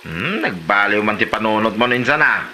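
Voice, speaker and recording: A man acting an excitable, teasing cousin in a rural comedy drama, with an Ilocano accent. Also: lowish voice, moderate pace, slight background noise. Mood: teasing, exasperated.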